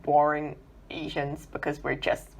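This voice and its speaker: A woman's voice: low-pitched, completely flat delivery, a little slow, gravelly, low-quality recording. A woman co-hosting a casual podcast, asking questions and steering the talk. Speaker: podcast co-host.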